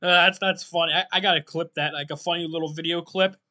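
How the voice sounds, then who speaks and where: A man hosting his own podcast in a casual, rambling solo talk, his voice going hoarse and often clearing his throat. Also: on the high side, fairly even intonation, fast talker, sharp tone. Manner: rambling, loose and self-deprecating, many fillers. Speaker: podcast host.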